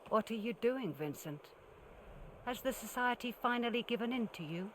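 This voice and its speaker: A woman playing a calm, cryptic, unyielding older mentor in a cinematic video game, speaking in a measured, grave way. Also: fairly high pitch, a little hiss or hum. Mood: defiant, reproachful.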